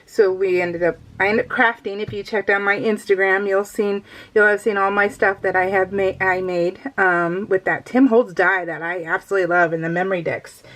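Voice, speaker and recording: A woman's voice: medium pitch, fairly even intonation, normal speed, fairly bright tone. A middle-aged woman chatting casually as a craft vlogger, giggly and easily sidetracked as she rambles along. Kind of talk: casual chat, rambling vlog.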